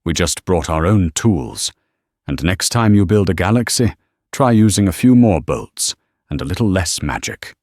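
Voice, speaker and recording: Brief: man doing a gruff captain, deep and punchy, dry sound. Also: expressive, normal speed, a little mellow, no echo. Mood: satisfied. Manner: gruff, roaring commands. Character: gruff tough human starship captain.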